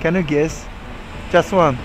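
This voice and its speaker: A man's voice: a little high, steady tone, unhurried, noisy background. Upbeat young man. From Kazakhstan. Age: young adult. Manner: upbeat, laughs often. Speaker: vlogger host. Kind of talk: casual street interviews. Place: city street outdoors. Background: music.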